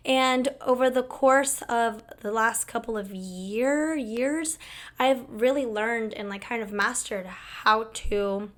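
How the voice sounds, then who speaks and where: Young woman talking to camera, voice kinda hoarse and cracking, a bit higher pitched, rambly and chatty. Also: slow pace, a bit bright. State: hoarse, recovering lost voice. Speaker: host. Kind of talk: casual talk to camera.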